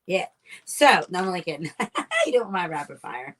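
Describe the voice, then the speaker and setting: A woman co-hosts a casual podcast with a bright, clear voice that swings widely in pitch. She is bubbly and effusive, showering her guest with praise. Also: expressive, brisk. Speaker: podcast co-host. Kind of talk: casual chat.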